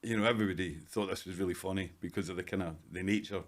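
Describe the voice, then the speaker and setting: A Scottish man in his fifties, a hairdresser guest in a casual interview, talking in a dry, self-deprecating, measured way. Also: low voice, fairly even intonation. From Scotland. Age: 50s.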